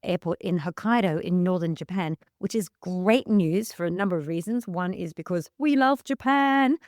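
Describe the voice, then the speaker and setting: A woman's voice: on the low side, normal speed, darkish tone, dry recording, clean studio sound. An Australian woman co-hosting a travel podcast, playful and enthusiastic with jokey asides. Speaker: podcast co-host. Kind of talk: casual travel news chat.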